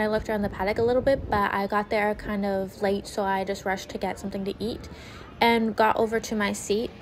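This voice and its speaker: A young woman chatting excitedly into her phone camera in a bedroom, with lots of "like"s. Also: medium pitch, slight background noise. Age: young adult. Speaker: vlogger. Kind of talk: casual vlog chat. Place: bedroom indoors. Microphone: phone camera at arm's length.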